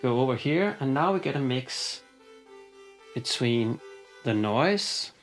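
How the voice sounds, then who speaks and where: A man's voice: mid-range voice, lively intonation, slow. A man walking through a modular synth patch, explaining casually with frequent fillers and restarts. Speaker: synth demonstrator. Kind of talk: hands-on synth demo. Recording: synth music playing behind. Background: synthesizer music.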